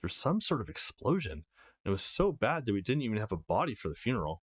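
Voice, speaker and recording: Male reader as eighteen-year-old visual novel lead, earnest, self-conscious, highly varied intonation. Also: big pitch swings, fast, slightly rough. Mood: somber. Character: flustered eighteen-year-old young man, earnest and easily embarrassed. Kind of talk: visual novel character reading.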